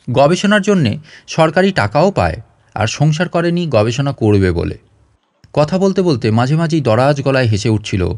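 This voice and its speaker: Guy reading an audiobook story, kinda dramatic, mid pitch, really dry recording. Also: a little mellow, no echo. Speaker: audiobook narrator. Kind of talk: dramatic scripted story narration.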